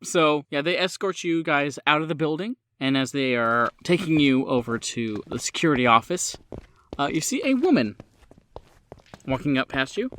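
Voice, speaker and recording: A man's voice: fairly high pitch, very animated, moderate pace, fairly bright tone, slight background noise. A man narrating a tabletop game as game master in an informal, improvised, conversational way.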